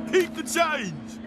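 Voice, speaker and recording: An actor playing a loud, excitable male American tourist in a cartoon, with a brash, whooping manner. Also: very high-pitched, full character voice. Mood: frantic. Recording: cartoon soundtrack with loud music.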